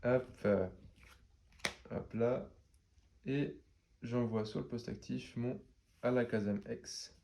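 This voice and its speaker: A man's voice: mid-range voice, fairly even intonation, slow, gravelly, pauses often, mellow, slight background noise. A man with a stuffy head cold, casually explaining his moves in a card game match with many fillers. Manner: chatty, explains each move with many fillers. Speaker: card game player and channel host.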